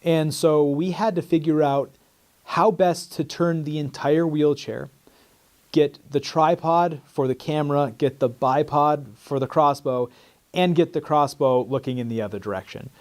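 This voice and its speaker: A man's voice: on the high side, measured pace, a little mellow. A man in his thirties, a hunting mentor, telling in an earnest, plain way how a hunt went. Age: 30s. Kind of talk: casual recounting interview.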